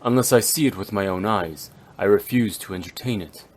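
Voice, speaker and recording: A man playing a stern, brooding immortal swordsman in an anime-style game, speaking formally and gravely. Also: on the low side, a little fast, lightly acted. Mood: stern, insistent.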